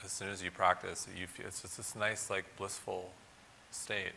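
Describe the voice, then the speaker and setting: Deep, gravelly man with a bright edge. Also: level tone, moderate pace, slight background noise. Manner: joking, casual, self-deprecating. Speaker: co-presenter and demonstration volunteer.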